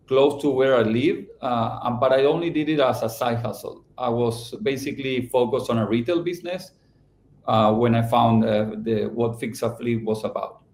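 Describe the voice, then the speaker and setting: A middle-aged man from Argentina, answering podcast interview questions about his company, halting and restarting often. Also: medium pitch, reverberant. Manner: halting, with many restarts and fillers. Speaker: company founder and CEO.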